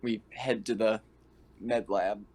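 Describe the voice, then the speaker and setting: A man's voice: fairly low pitch, steady tone, slow, a little mellow, slightly rough. A man playing a tabletop roleplaying game over online voice chat, chatting casually and jokingly, with some swearing. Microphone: online voice call. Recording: faint noise on online voice chat.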